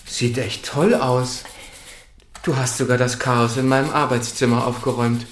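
An awkward man in his thirties in an audio drama, played by an actor. His voice is mid-pitched, and he speaks politely, mildly and a little evasively. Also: steady tone, moderate pace, slight background noise, lightly acted. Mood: guarded. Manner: hesitant, evasive, mild.

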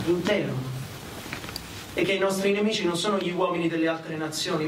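Guy reciting a dramatic monologue, earnest, kinda far from the mic, faint noise. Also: sits high. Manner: earnest, declamatory. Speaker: performer reciting. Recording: echoey, distant, faintly noisy pickup.

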